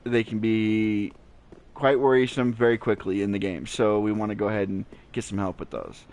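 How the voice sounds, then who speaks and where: A man with a naturally deep voice giving relaxed, rambling gameplay commentary with many fillers, recorded at home on a cheap gaming headset mic. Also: moderate pace. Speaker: gaming channel host. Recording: low-quality headset mic, faint hiss.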